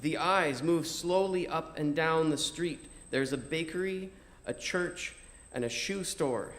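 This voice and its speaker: A man reading a first-person story as narrator in a measured, theatrical, declamatory manner. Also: fairly high pitch, a bit bright. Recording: music behind the voice. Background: music.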